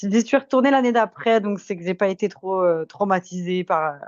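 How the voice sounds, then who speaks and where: A woman's voice: medium pitch, lively intonation, a little fast, darkish tone, no room sound, muffled recording. A woman in her twenties from France, with a French accent lightly tinged with Québécois, chatting casually and good-humoredly as a podcast guest. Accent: French from France with slight Québécois tinge. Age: 20s. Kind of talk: casual chat, telling anecdotes.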